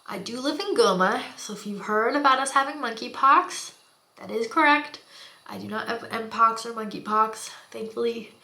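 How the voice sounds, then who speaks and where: A woman's voice: fairly high pitch, normal speed, gravelly, bright, forceful tone, echoey room. A woman with a stuffy, congested nose, chatting casually and explaining as she does her makeup, with frequent fillers and apologetic asides. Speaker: beauty and mental health vlogger. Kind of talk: casual talking-head explainer while doing makeup. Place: home in Goma, Africa.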